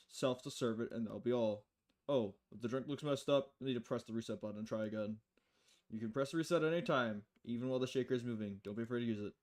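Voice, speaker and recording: A performer voicing a calm, dry male bartender who patiently explains things in matter-of-fact visual novel dialogue. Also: mid-range voice, close to an everyday voice.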